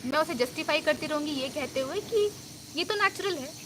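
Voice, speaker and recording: A woman's voice: sits high, expressive, brisk, background noise. A woman life coach giving an earnest, reassuring self-help talk, mixing English words into her Hindi.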